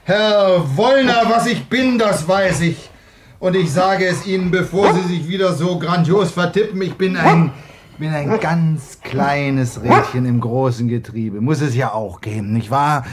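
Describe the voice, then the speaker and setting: Actor as jealous, sneering young husband. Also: male voice, a little high, a little slow, background noise, lightly acted. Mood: drunken, blustering. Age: young adult. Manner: sneering, sarcastic, blustering. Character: jealous, bitter young husband, petty and sarcastic. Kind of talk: German radio play acting.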